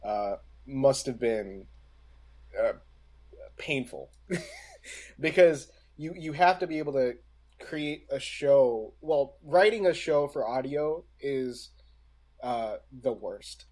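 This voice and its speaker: A man co-hosting a casual podcast, speaking in a halting, deliberate way with many pauses mid-sentence. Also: mid-range voice, expressive, a little mellow. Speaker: podcast co-host.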